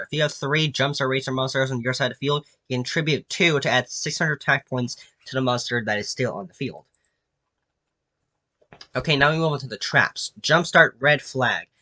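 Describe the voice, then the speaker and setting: Nasal sick young man, bright voice. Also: medium pitch, no room sound. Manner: filler-heavy, many restarts and "like"s. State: sick with a cold. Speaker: YouTube creator presenting his own card designs. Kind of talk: casual rambling explanation.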